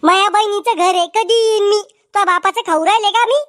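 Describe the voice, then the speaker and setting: A man voicing a cheeky, quarrelsome village cartoon character with a Varhadi Marathi accent and a pitched-up voice. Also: brisk, sharp tone, no room sound, studio quality. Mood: scolding. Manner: brash, sarcastic, bickering.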